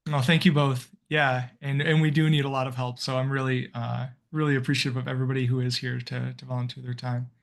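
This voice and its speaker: A man's voice: mid-range voice, flat delivery, fast, gravelly, hardly any pauses. A man hosting a volunteer video call as coordinator, talking in a friendly, rambling way with many fillers and restarts. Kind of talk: casual video-call welcome.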